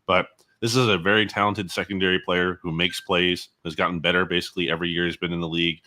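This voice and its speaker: A man's voice: deep voice, flat delivery, fast, pushed and bright. A man giving analysis as a guest on a sports interview show.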